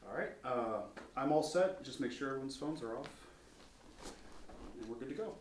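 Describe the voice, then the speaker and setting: A man giving brisk, procedural instructions before a recorded interview. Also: mid-range voice, lively intonation, roomy sound, slight background noise. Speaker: recording crew member giving setup instructions.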